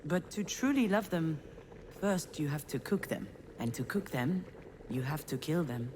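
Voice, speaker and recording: Low female voice, cynical failed screenwriter, wry and measured, introspective visual novel monologue, some background noise. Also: animated, a little mellow. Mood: grim, detached. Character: failed woman screenwriter, cynical, melancholic, self-deprecating loner. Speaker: narrator protagonist of a visual novel.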